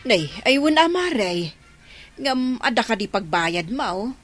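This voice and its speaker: Actress as inquisitive Ilocano neighbour woman, unhurried, slightly high voice, comedy radio drama. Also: very animated, fairly bright tone, faint music behind. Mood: surprised.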